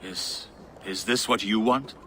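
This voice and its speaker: An actor playing a loyal, battle-ready Viking ally in a video game, firm in delivery. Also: male voice, lowish voice, slow pace, bright, forceful tone, slight background noise. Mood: ready, earnest. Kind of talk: dramatic video game acting.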